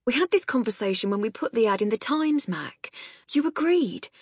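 Woman playing a kind, husky-edged young male assistant. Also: fairly high pitch, lively intonation, moderate pace, dry recording, studio quality, voice pushed up. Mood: persuasive. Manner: amused, patient, persuasive.

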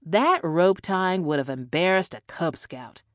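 A woman's voice: lowish voice, lively intonation, a little slow, no room sound. A woman voicing a defiant, self-assured captive female agent in an audiobook, with a dry, sardonic manner. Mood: scornful.

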